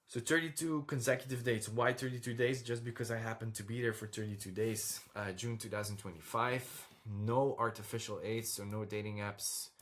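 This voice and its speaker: Direct low-voiced Belgian-born man. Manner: direct, repeats "okay?" and "all right?" to the viewer. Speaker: dating coach vlogger. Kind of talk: casual talk over slides.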